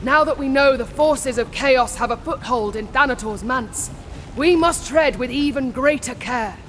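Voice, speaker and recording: A righteous armored warrior woman in a fantasy game. She speaks slowly and solemnly, declaiming with command, in long reverb over faint noise. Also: sits high, fairly bright tone. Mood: grave resolve. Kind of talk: dramatic fantasy game acting.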